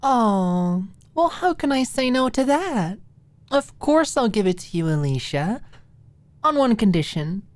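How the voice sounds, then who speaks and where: A woman's voice: fairly high pitch, sing-song, measured pace, darkish tone, no echo, pitched above the performer's own voice. A woman voicing a playful, confident young woman in an audiobook, teasing with mock innocence. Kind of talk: audiobook character dialogue.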